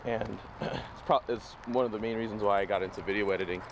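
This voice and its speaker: A man's voice: lowish voice, steady tone, fast, gravelly. A man hosting a walking vlog, losing his voice, talking in a casual, rambling, upbeat motivational way. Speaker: vlogger host. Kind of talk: walking talk to camera, motivational. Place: outdoors on a city street. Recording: noisy outdoor street recording.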